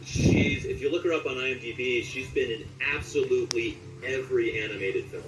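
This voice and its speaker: Interview guest, male, late forties, mid-pitched conversational storyteller, recorded with noticeable background noise. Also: brisk. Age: late 40s. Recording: roomy echo, distant mic, clear background noise.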